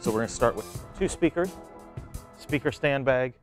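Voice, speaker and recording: A man's voice: mid-range voice, lively intonation, moderate pace, faint music behind. A man in his thirties giving plain, step-by-step how-to instructions as an equipment demonstrator.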